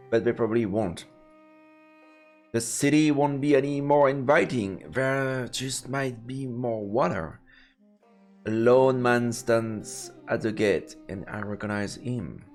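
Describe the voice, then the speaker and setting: A man doing let's play commentary on a visual novel, reading the narration aloud. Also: mid-range voice. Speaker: game streamer.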